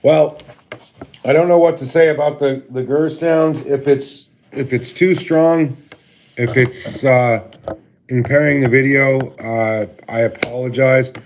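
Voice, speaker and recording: A man with a deep voice hosting a casual livestream, greeting viewers by name and talking with many halting filler pauses. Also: fairly even intonation, a little hiss or hum. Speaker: livestream host. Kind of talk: casual live stream chat with viewers. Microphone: laptop mic.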